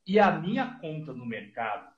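A man's voice: a little high, normal speed. A man in his thirties with a Brazilian accent, a guest investment analyst telling stories animatedly, with a headset on a video call. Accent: Brazilian Portuguese. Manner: animated storytelling, acting out dialogues. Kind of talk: casual podcast interview. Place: small room with purple lighting. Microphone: headset over video call. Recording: echoey lo-fi video call audio.

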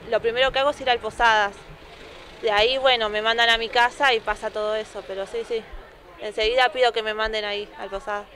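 A young woman in her twenties with an Argentine accent, speaking shyly and softly with many fillers in a street news interview. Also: fairly high pitch. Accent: Argentine Spanish. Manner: shy, soft, with many fillers. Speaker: new mother interviewed. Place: city sidewalk outdoors. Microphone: handheld reporter microphone. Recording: faint street noise behind.

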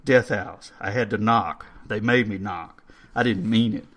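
A man voicing a wary, injured young male street tough with rough, slangy speech. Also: on the low side, a little slow, a bit bright. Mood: wary, frightened.

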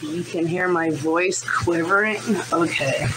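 A woman's voice: on the low side, steady tone, slow. A middle-aged American woman rambling on a livestream in a profane, confrontational manner. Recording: noisy livestream replay with room ambience.

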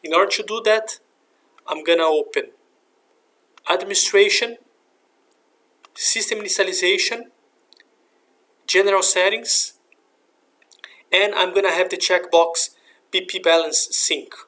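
A man narrating a software demonstration in a step-by-step, instructional way. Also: sits high, unhurried, long pauses. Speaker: software demo presenter. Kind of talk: scripted software tutorial narration. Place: screen recording voice-over.

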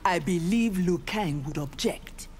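An actress playing a proud, earnest young armored ninja woman in a video game, speaking in formal, measured, resolute lines. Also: on the low side, expressive, darkish tone, slight background noise. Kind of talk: dramatic video game dialogue.

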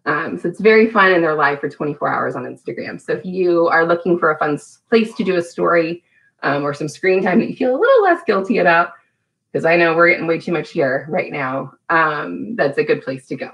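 A woman's voice: lowish voice, expressive, brisk, creaky, fairly bright tone, low-quality recording. Lady in her 30s doing storytime for preschoolers, encouraging, asks the kids easy questions. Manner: encouraging, simple questions to young children. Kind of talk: children's storytime lesson with songs. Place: small room at home.

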